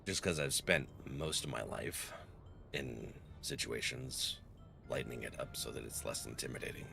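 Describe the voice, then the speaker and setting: A man's voice: extremely low pitch, normal speed, gravelly. A man streaming a game and chatting casually with viewers, easygoing and laughing often. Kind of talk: casual live-stream chat. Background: faint music.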